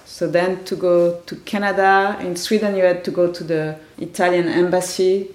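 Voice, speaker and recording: Woman interviewer, low pitch, soft prompting questions, roomy echo. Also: little pitch movement, moderate pace. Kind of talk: oral history interview.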